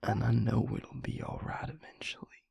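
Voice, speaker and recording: A man playing a grieving, guilt-ridden vampire confiding in his mate in an intimate audio roleplay, halting and hushed, with a breathy, husky voice. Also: on the low side, monotone, no echo, full character voice. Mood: subdued, sorrowful. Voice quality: breathy, husky, gravelly near-whisper.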